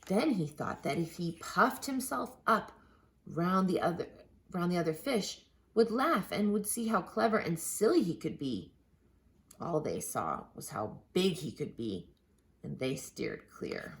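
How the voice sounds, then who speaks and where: Expressive woman reading a children's storybook, lowish voice. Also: vocal fry. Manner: expressive, with dramatic pauses and drawn-out emphasized words. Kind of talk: read-aloud picture book narration.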